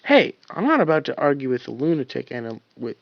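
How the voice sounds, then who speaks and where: A man's voice: mid-range voice, big pitch swings, a little fast, creaky, no room sound, lo-fi sound. A man voicing a bored, lazy soldier who talks in a dismissive, irritable way.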